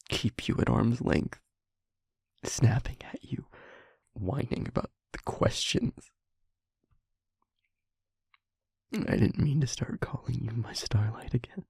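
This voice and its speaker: Male actor as tormented demon, intimate audio drama, half-whispered, gravelly creak, halting, heartfelt pleading. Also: mid-range voice, mellow, no room sound, clean studio sound, heavily acted. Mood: tender, sorrowful. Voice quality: breathy, husky, often whispered. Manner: slow, halting, emotionally pleading. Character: tormented, remorseful male demon confessing love. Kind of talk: intimate scripted audio roleplay monologue.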